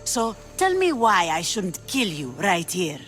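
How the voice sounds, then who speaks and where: An actress playing a shrewd, ruthless pirate queen in a video game, speaking with cool, mocking menace over loud music. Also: medium pitch, very animated, measured pace. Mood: sly. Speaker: pirate leader. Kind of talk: video game cutscene acting. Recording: game audio with loud score behind.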